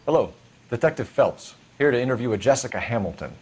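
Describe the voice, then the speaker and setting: An actor playing an earnest male police detective who questions people in a firm, measured way. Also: mid-range voice, animated, darkish tone, breathy edge, soft background music. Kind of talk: video game voice acting.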